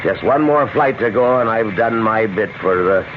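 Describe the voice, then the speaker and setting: An actor playing a genial, weary police sergeant in a radio drama, chatting in a folksy, unhurried way. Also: male voice, lowish voice, lightly acted. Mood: friendly. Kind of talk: old-time radio drama acting. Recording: muffled, noisy old radio broadcast recording.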